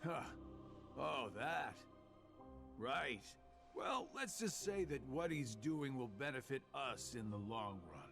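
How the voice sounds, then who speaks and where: An actor playing a sly, laid-back schemer in a video game, with a drawling, sardonic delivery. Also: male voice, medium pitch, animated, slow pace, vocal fry, music in the background. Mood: evasive, casual. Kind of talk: dramatic video game cutscene acting.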